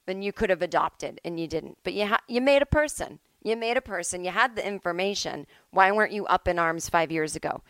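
A woman's voice: lowish voice, animated, fairly quick, few pauses, fairly bright tone, no echo. A middle-aged woman hosting a solo podcast, talking casually and bluntly, with emphatic, sweary opinions. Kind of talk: casual opinionated monologue, ranting. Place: at home.

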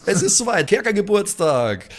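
A man hosting a podcast with excited, slangy, casual banter. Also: sits high, normal speed. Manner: slangy, exuberant. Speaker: podcast host and player.

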